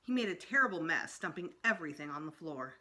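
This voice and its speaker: A woman reading a children's story aloud as storytime narrator, expressive, with emphatic pauses. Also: sits low. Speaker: storytime reader.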